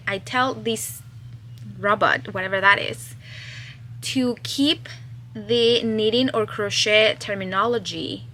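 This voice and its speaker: Young woman vlogger from Chile, Spanish native, unhurried and chatty, bright tone, faint room hum behind. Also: medium pitch. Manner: chatty, thinking aloud, trailing off. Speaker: knitting and crochet pattern designer. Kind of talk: casual vlog chat.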